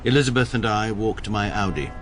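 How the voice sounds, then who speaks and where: A pompous, precise barrister in his forties, as an actor plays him narrates his own misfortunes with dry, deadpan wit. His voice sits very low, with soft noise behind it in this radio comedy. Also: fast talker, lightly acted. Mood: wry. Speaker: first-person narrator and lead character.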